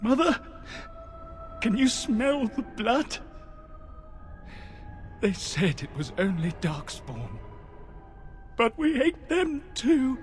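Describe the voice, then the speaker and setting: A haunted, deranged soldier from a fantasy game. He rambles very slowly in broken fragments, swinging up and down, with music behind him. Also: very high voice, big pitch swings, exaggerated voice. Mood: delirious, grieving. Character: mad, traumatised male soldier haunted by slaughter. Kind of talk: video game cutscene acting.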